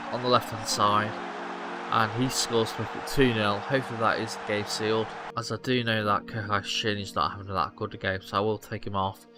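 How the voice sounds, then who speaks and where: Young male gaming host, lowish voice, loose rambling match commentary, music bed underneath. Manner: rambling play-by-play with fillers and restarts. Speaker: gaming youtuber. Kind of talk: casual game commentary. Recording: music playing behind.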